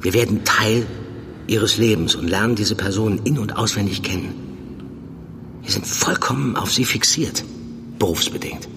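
Low-voiced, measured police mentor, played by a man. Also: noisy background, lightly acted. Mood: earnest, understanding. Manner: measured, deliberate, pausing between phrases. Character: seasoned male undercover police handler, controlled, probing mentor. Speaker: actor in fiction podcast. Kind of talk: naturalistic German audio drama acting.

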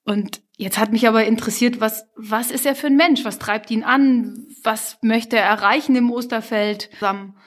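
A woman's voice: on the high side, steady tone, normal speed, few pauses. A woman presenting and interviewing a guest for a local podcast, friendly and engaged. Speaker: interviewer, chair of a support association.

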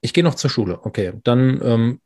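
A man working as an insurance advisor, explaining in a relaxed, chatty way with many fillers and restarts. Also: mid-range voice, a little fast, dry recording, clean studio sound. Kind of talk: casual podcast explanation.

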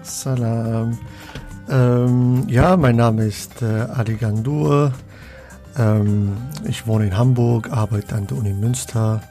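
A man's voice: medium pitch, fairly even intonation, slow, dark tone, soft background music. A man from Morocco, a guest scholar of Islamic theology in a podcast interview, speaking hesitantly with many fillers and restarts.

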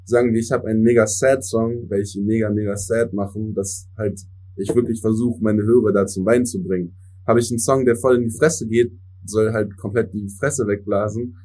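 A young man, a native English speaker, giving relaxed interview answers as a musician and mixing English words into his speech. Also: on the low side, fairly quick. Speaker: musician guest.

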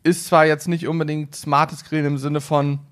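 A man's voice: a little high, flat delivery, normal speed. Chatty man co-hosting a podcast. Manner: chatty, easygoing, lots of fillers. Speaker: podcast co-host. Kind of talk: casual podcast chat.

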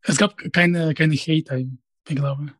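Russian-accented man, late thirties, interview guest; husky, slightly high voice, halting and direct, dry close sound. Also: steady tone, dry recording. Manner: hesitant, restarts phrases, direct. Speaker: professor and YouTuber, interview guest. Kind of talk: conversational interview answers.

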